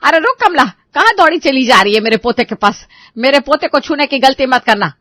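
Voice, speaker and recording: A woman's voice: a little high, fairly quick, no echo, clean studio sound, natural voice. A woman voicing an irritable, scolding older mother-in-law and grandmother in a dramatised story. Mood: angry, bossy. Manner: sharp, nagging, indignant.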